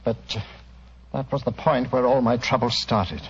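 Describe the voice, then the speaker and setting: Husky, earnest gentleman, muffled radio. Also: mid-range voice, steady tone, moderate pace. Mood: resigned, confessional. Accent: British. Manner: earnest, well-spoken. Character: proud, ashamed well-bred gentleman confessing his secret. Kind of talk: 1940s radio drama acting. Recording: muffled old radio recording.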